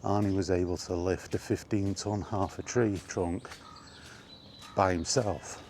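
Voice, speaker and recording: Male vlog host, deep-pitched, conversational and casual, talking straight through with asides, faint ambient noise in the background. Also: steady tone, a little slow, a little mellow. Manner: conversational, rhetorical questions and asides. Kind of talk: casual film chat monologue.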